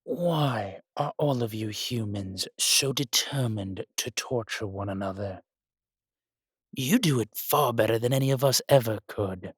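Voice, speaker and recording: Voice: medium pitch, unhurried, slightly rough, dry recording, clean studio sound. An actor playing an ancient, powerful spirit, sardonic, proud and condescending, in an audio roleplay drama.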